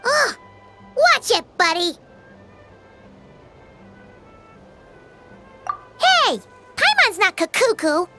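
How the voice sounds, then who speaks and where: A woman voicing a tiny, bubbly, easily indignant girl companion in a video game, with a squeaky childlike voice and exclamatory delivery. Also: pushed and bright, exaggerated voice. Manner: refers to herself by name, exclamatory. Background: game background music.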